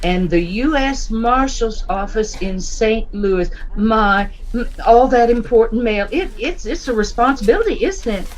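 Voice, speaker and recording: Woman playing a high, coy frontier miss. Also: expressive, measured pace, hardly any pauses, low-quality recording. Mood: coaxing, admiring. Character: coy, wheedling, easily shocked genteel frontier miss. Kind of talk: western radio drama acting.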